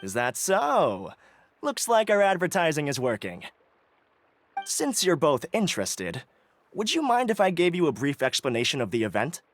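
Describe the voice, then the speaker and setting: A man's voice: on the high side, wildly swooping pitch, moderate pace. A man voicing a polite young male festival organizer in a video game, courteous and formal. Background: soft game music.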